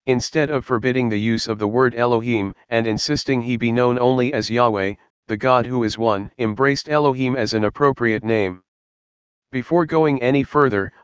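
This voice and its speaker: A man reading a scripted Bible study in a measured, earnest, explanatory way. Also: mid-range voice, clean tone, dry recording, muffled recording. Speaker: Bible teacher.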